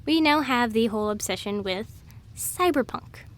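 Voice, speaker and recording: A woman with a high voice talks in a loose, rambling way. She is chatty and scatterbrained, jumping along casually with an amused tone, as in an informal audio roleplay. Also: animated, moderate pace. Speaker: audio roleplay performer playing a rambling girlfriend. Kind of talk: casual rambling monologue of trivia.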